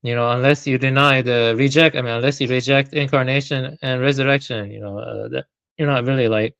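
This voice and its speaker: A man's voice: medium pitch, steady tone, a little fast, creaky, fairly bright tone, dry recording, dull audio. A man in a casual stream discussion who talks hesitantly, thinks aloud and leans on filler words.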